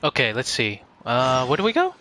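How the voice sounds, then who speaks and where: A man's voice: mid-range voice, wildly swooping pitch, moderate pace, bright, forceful tone, slight background noise. A man giving casual live-stream commentary on a game, rambling, repeating phrases and trailing off. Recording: game music behind. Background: game music.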